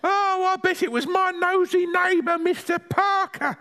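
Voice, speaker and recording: A man's voice: very high-pitched, a little slow, sharp tone, pitched above the performer's own voice, full character voice. A man voicing a feisty, mischievous old granny with a theatrical, exclamatory delivery for a storybook. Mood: gossipy. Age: older. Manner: exclamatory, mock-outraged.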